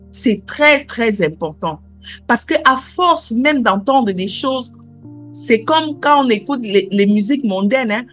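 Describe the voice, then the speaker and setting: A high-pitched woman preaches to her congregation. She repeats her questions and drops in alleluia and amen between lines. Faint music plays underneath. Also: bright, forceful tone. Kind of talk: sermon.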